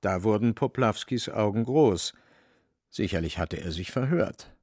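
A man narrating a literary radio play as scripted storytelling, with a creaky voice. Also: on the low side, animated, unhurried, darkish tone, no room sound, clean studio sound.

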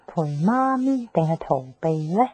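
A woman's voice: fairly low pitch, lively intonation, measured pace, a little mellow. An actress playing a cheeky, teasing young best girlfriend in a radio drama, breezy and slangy, with a Hong Kong Cantonese accent. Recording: faint music bed.

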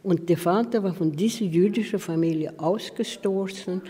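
An older woman with as her language, recalling things haltingly in broken syntax, in a reverberant room. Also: lowish voice, mellow, breathy edge. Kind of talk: personal recollection in interview.

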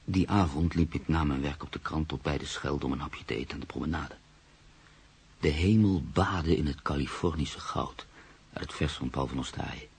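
A man playing a proud, brooding newspaper writer in a radio drama, with measured, literary delivery. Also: extremely low pitch, heavily acted. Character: proud, brooding male newspaper writer, sceptical and easily unsettled. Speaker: actor. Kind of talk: radio drama acting, monologue and narration. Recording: radio play with clear background noise.

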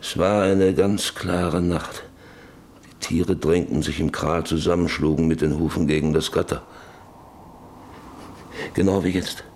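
An actor in a radio play playing a middle-aged rancher, injured and guilt-ridden, speaking in a halting, brusque, brooding way. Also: male voice, low voice, fairly even intonation, darkish tone. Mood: remorseful, brooding. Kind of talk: German radio play acting.

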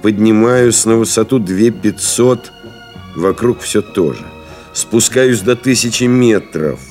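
An actor playing a reflective pilot-writer, narrating memories in a measured, solemn, literary radio-play style. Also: male voice, fairly low pitch, animated, a little mellow, faint music behind. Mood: tense, brisk. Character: reflective male pilot-writer recalling friends and desert flights.

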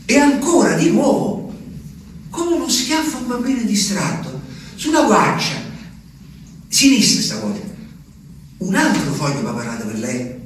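Brooding peasant husband, echoey. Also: male voice, sits high, sing-song, measured pace, long pauses. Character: peasant husband reading his wife's letter. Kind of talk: stage monologue. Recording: echoey stage from far off, faint noise.

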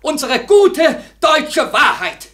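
An actor playing a bitter, sleepless young war veteran in 1940s German radio drama style, feverish and sardonic, repeating himself between weary murmurs and anguished cries. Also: male voice, extremely high pitch, sing-song, slow, a bit bright, heavily acted. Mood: bitterly sardonic.

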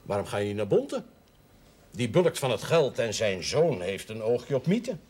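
An actor playing a practical, plain-spoken chaplain in a TV period drama, with a husky voice and a matter-of-fact manner. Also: male voice, medium pitch, very animated, moderate pace, a little mellow. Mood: advising.

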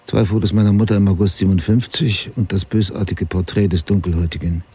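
An actor playing a brooding middle-aged writer, narrating his past in a sober, measured, confessional way for a radio drama. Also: sits low, steady tone, mellow, breathy edge. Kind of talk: literary first-person radio drama narration.

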